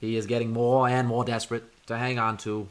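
An actor playing a confident federal agent in an old time radio drama, brisk and authoritative. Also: male voice, medium pitch, little pitch movement, natural voice. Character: confident male federal agent leading a task force. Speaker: Agent Jack Brewster.

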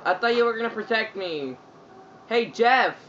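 A man trolling teammates in online voice chat with a put-on, squeaky and childish whine. His voice sits high, and the muffled, lo-fi recording carries a loud hiss underneath. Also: expressive, moderate pace. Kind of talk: prank voice chat during online shooter.

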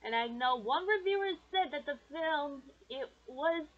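A woman's voice: high voice, lively intonation, a little slow, phone-quality sound. A woman hosting a video, reading scripted fan commentary. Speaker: video host.

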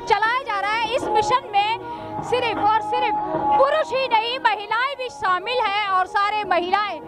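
A young woman news reporter speaking into a handheld mic on a busy city street, briskly prompting people with questions. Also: very high-pitched, loud music behind the voice. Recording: loud crowd noise outdoors.

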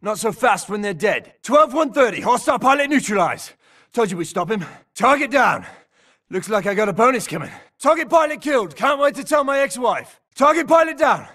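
A man's voice: very high voice, animated, fairly quick, pushed and bright, recorded from a distance, full character voice. A man playing an infantry grunt in a military shooter game, barking urgent, clipped combat callouts. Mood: cocky. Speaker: soldier in a video game.

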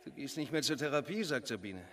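Actor as sardonic, determined father, medium pitch. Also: expressive, quick pace, fairly bright tone, slight background noise, close to an everyday voice. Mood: probing, determined. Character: determined vigilante father, sardonic buddy type. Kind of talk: German radio drama acting.

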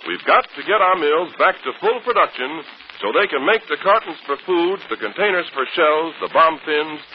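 A man's voice: on the high side, expressive, normal speed. A man announcing in earnest, persuasive 1940s radio style, reading a scripted public appeal. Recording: dull old radio broadcast, hiss.

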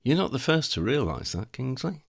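A determined young wizard answers back in a raised, lively voice that sits well above the narrator's own pitch. He is brash and defiant, with a ready answer for any warning. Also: normal speed, gravelly, slightly rough, full character voice. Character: young male wizard hero, stubborn and determined.

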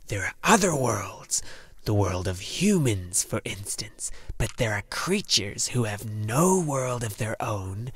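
A man voicing a dying werewolf in a storybook, bitter, menacing and weary, with a breathy, whispery growl. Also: fairly low pitch, very animated, a bit bright, exaggerated voice. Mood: cold contempt. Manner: slow, drawn-out, scornful delivery.

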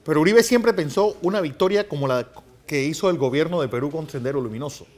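Middle-aged male host, slightly high voice. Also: fast. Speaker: TV news host. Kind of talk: conversational interview with short interjections. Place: TV news studio.

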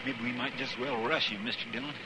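An actor playing a loyal, deferential deputy in a 1950s radio western, with a rural American accent and folksy talk. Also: male voice, mid-range voice, fairly even intonation, fast talker, natural voice. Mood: tense, resolute. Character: loyal, folksy deputy sidekick to frontier marshal. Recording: noisy 1950s radio recording.

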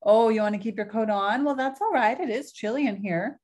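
A woman's voice: on the high side, brisk, a little mellow, pitched above the performer's own voice, natural voice. A woman voicing a kindly, chatty 97-year-old grandmother in a storybook reading. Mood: cheerful.

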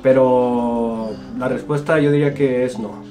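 A man's voice: medium pitch, steady tone, measured pace, warm tone, soft background music. A young Mexican man in his twenties, casually chatting and joking as he answers viewer comments in a small home studio. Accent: Mexican Spanish. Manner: jokey, laughs often, informal. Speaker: guest youtuber. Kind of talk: casual chat reading comments.

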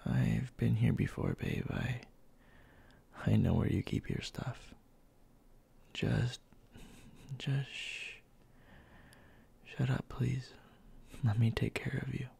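A man playing a bossy but tender young boyfriend in a soft, intimate ASMR roleplay, speaking in a halting, breathy murmur that often drops to a whisper. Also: mid-range voice, heavily acted. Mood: gruff, caring. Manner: halting, murmured, scolding yet caring.

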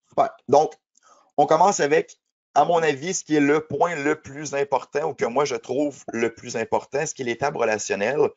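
A man's voice: mid-range voice, steady tone, normal speed. Man, 30s, has a cold, patient trainer explaining in a webinar, dull lo-fi audio. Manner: explanatory, many fillers. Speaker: software trainer. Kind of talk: webinar presentation.

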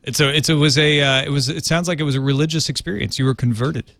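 A man's voice: a little high, fairly even intonation, fast, vocal fry, a bit bright, slight background noise. A man hosting a casual podcast from a small studio on a studio mic, upbeat and steering the talk. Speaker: host. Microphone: headphones and studio mic.

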